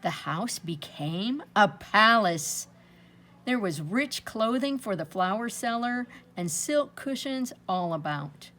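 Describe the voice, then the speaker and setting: A woman reading aloud to children in an expressive storytelling style, with dramatic pauses and drawn-out emphasis. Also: mid-range voice, a little hiss or hum. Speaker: storybook reader.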